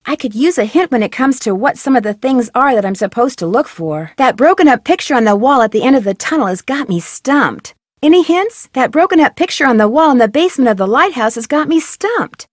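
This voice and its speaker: A woman's voice: sits high, animated, fairly quick, no room sound, clean studio sound. An actress voicing a young female amateur detective in a video game, inquisitive and chatty as she asks for hints and talks through clues. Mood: curious. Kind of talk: studio video game voice acting.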